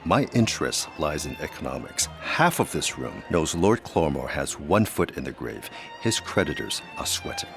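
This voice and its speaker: An actor playing a suave, calculating aristocratic gentleman in a gothic audio drama, speaking in courtly, formal phrases. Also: very deep voice, huge pitch swings, moderate pace, few pauses, faint music behind, exaggerated voice. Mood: cool, pragmatic.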